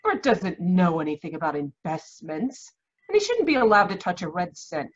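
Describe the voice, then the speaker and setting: A woman playing a haughty, bitter, sharp-tongued middle-aged aunt in 1940s radio drama style, domineering and husky. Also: on the low side, wildly swooping pitch, moderate pace, muffled recording. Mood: scornful anger. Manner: domineering, scornful.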